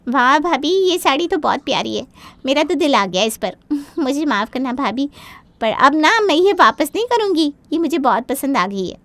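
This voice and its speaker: A woman's voice: high voice, animated, normal speed, fairly bright tone, no room sound, pitched above the performer's own voice. A woman voicing a spoiled, grabby young sister-in-law in a cartoon story, wheedling and gushing with entitlement. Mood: gushing, entitled.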